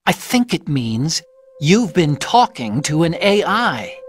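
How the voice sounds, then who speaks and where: An actor playing a gentle, brainy support ally in a video game, explaining things in a careful, analytical way. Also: male voice, fairly high pitch, very animated, slow pace, slightly rough, exaggerated voice. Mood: grave, analytical. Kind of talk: dramatic video game voice acting. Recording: loud music behind the voice.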